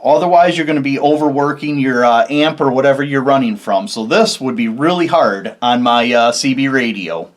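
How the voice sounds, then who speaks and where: A man's voice: mid-range voice, moderate pace, few pauses. A man hosting a casual how-to video, explaining things step by step in an informal, folksy way. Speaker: host of a DIY car electronics video.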